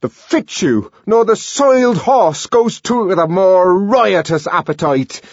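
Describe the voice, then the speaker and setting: Raging, high-pitched savage young man. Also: lively intonation, a little slow, breathy edge, no room sound, dull audio, exaggerated voice. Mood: maddened declaiming. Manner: shouting, theatrical archaic declamation.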